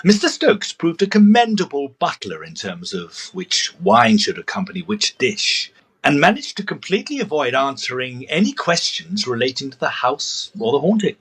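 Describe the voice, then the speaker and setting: A man playing a brisk, sceptical gentleman ghost investigator who narrates a period audio drama with dry pomposity. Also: medium pitch, very animated, slightly rough. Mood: dry, wry.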